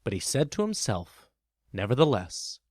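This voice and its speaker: Expressive male storybook narrator, lively pitch. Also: moderate pace, no room sound, studio quality.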